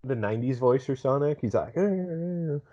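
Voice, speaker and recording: A man's voice: medium pitch, animated, a little fast, dark tone. A man in casual podcast chat, hesitant and slangy, on a slightly dull microphone.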